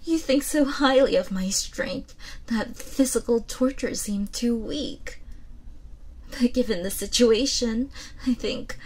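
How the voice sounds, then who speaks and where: A woman playing a proud, sardonic captive royal in a roleplay audio drama, breathy and theatrical, with a taunting manner. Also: on the high side, darkish tone. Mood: bitter sarcasm. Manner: haughty, taunting, theatrical, deliberate.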